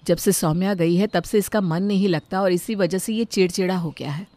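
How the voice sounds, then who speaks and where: Woman playing a calm young daughter-in-law. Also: lowish voice, moderate pace, natural voice.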